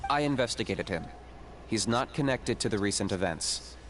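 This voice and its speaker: A man's voice: on the low side, lively intonation, normal speed, fairly bright tone, slight background noise. An actor playing a cool, blunt young male hunter who speaks terse and matter-of-fact.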